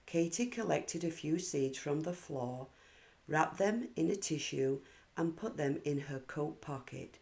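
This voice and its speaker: Slow, animated woman reading a storybook. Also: low-pitched, vocal fry. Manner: measured, animated storytelling for young children. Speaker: children's book read-aloud narrator. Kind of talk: expressive scripted storybook narration.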